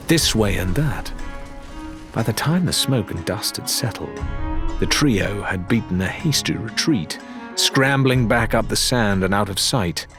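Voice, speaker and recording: A man reading a dramatic adventure audiobook as narrator, in scripted storytelling style. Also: fairly low pitch.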